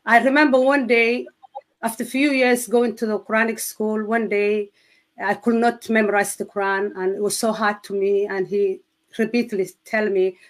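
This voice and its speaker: A woman from Somalia with a Somali accent, speaking English as a second language, giving her personal testimony in an interview with earnest, halting speech as she searches for words. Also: mid-range voice, little pitch movement. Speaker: guest sharing testimony.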